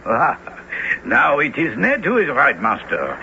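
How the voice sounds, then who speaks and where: A man's voice: medium pitch, wildly swooping pitch, unhurried, sharp tone, heavily acted. An actor in a radio drama playing a mild-mannered, deferential manservant who travels with his master. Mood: polite. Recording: noisy, muffled old radio broadcast.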